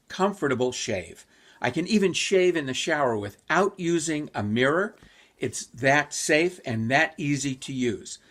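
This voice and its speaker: A man from Northern California giving an earnest, unhurried video testimonial. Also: mid-range voice, a bit bright.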